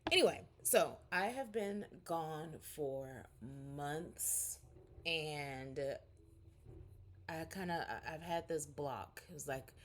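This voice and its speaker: A woman in her forties talks to her vlog audience from home in a candid, rambling, chatty way. Her voice sits low, and a faint room hum runs underneath. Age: 40s. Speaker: vlogger. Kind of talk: casual catch-up vlog.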